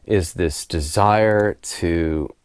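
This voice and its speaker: A man with a very low voice, roughened and hoarse from a recent cold, speaks in a loose, unscripted monologue at home. He drifts through his thoughts, repeating words and chuckling softly. The sound is dry and close, with no room echo. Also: extremely slow. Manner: relaxed, rambling, repeats words while thinking, laughs softly. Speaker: spiritual author and video host. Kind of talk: casual unscripted monologue to camera.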